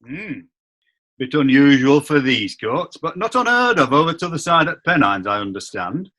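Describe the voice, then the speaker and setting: An actor playing a wry, curious judge. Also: male voice, fairly high pitch, very animated, normal speed, bright, forceful tone, heavily acted. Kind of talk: amateur audio drama acting.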